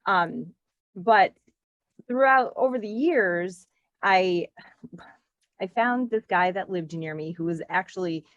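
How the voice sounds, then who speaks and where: A woman in her forties from Connecticut, chatting as a podcast guest in a lively, talkative way with frequent laughs and filler words. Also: on the low side, takes it slowly, pauses often, dry recording, muffled recording. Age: 40s. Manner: chatty, animated, laughs often, lots of "like". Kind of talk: casual interview chat.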